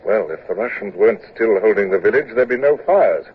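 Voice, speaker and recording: A wry, low-voiced sea captain. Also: male voice, animated, a little fast, breathy edge. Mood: calm. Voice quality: dry, understated, crafty. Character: British naval captain, wry and shrewd, narrating. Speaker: ship captain and narrator. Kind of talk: 1950s radio drama acting. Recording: dull old radio transcription with faint hiss.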